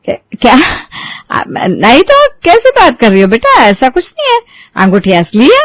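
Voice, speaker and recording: A woman voicing a soft-spoken middle-aged mother-in-law who coaxes and gets flustered. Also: medium pitch, animated, normal speed, dry recording, lightly acted. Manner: coaxing, flustered.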